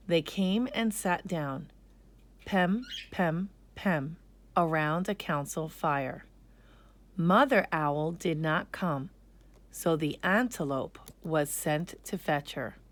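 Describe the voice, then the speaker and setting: A woman reading a children's story aloud as an expressive narrator. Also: low voice, takes it slowly.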